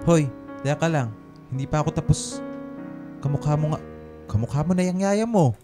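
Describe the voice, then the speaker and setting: Man acting smug, mellow-voiced schoolboy bully. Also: a little high, animated, soft background music, natural voice. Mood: gloating, sneering. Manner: mocking, sneering, drawn-out Taglish jabs. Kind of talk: Filipino radio drama acting.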